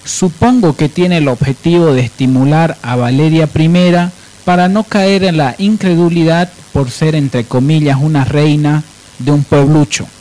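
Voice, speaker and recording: Male radio host, fairly high voice, sardonic and emphatic commentator. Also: mellow, poor audio.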